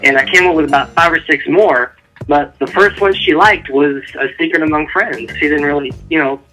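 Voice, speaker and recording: A man's voice: fairly high pitch, normal speed, bright, forceful tone, faint music behind, rough recording. A man from Dallas, Texas, answering interview questions as an author in an informal, loosely rambling way. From Dallas, Texas, United States. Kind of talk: casual radio interview answers.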